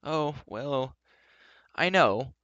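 A man's voice: mid-range voice, lively intonation, moderate pace, a bit bright, dry recording, lo-fi sound. A man voicing a gloomy, nervous fair-folk creature in a storybook reading, moaning, dithering and full of self-pity. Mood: fretful, evasive.

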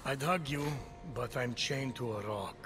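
An actor playing a wry, patient titan storyteller in a game, speaking in a dry, measured, deadpan way. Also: male voice, mid-range voice, close to an everyday voice. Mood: wry, consoling. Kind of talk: game narration and banter. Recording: loud music behind the voice.

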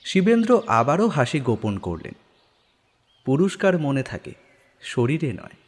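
Expressive male storyteller in long reverb. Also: medium pitch, a little slow. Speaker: story narrator. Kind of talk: dramatic scripted audio story narration. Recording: long studio reverb, background music.

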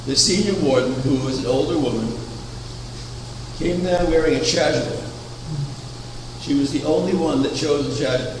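An older man preaching a sermon from a pulpit, measured and anecdotal, asking rhetorical questions. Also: fairly high pitch. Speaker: preacher, founder of a religious order. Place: chapel, at a wooden pulpit. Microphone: far camera. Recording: echoey chapel from far off, air conditioning hum.

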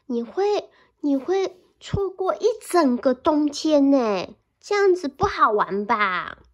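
A woman's voice: high-pitched, very animated, takes it slowly, exaggerated voice. A woman voicing a small, eager male mouse in a storybook read-aloud, coaxing and pleading insistently. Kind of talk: picture-book read-aloud.

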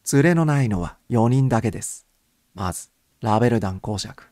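A man voicing a polite, methodical gentleman who explains things in orderly fashion as an amateur detective in an audiobook. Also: mid-range voice, lively intonation, a little fast, no echo, studio quality, natural voice. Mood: reasoning, confident.

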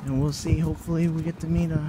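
A man's voice: fairly high pitch, little pitch movement, fast. A young American man chatting casually as he vlogs on a handheld phone. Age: young adult. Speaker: vlogger. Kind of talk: casual vlog chat. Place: outdoors and busy convention hall. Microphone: phone at arm's length. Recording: noisy handheld phone, public ambience.

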